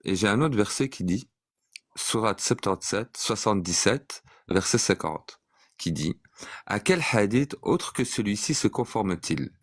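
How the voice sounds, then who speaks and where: A man in an online debate, speaking calmly and methodically and reciting verses to make his point. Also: lowish voice, measured pace, no echo, studio quality. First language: French speaker.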